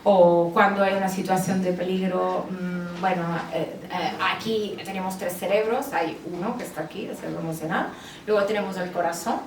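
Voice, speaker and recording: A woman's voice: lowish voice, fairly even intonation, normal speed, darkish tone. A woman psychologist with an Italian accent, speaking with many hesitations and fillers at a book presentation. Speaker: psychologist and author. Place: bookstore event space. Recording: roomy, distant mic with background noise.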